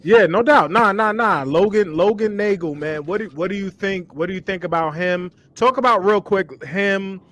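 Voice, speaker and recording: A man on a sports podcast who mostly chimes in with short, emphatic reactions and quick questions. His voice sits somewhat high for a man. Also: fairly bright tone. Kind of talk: casual sports podcast chat.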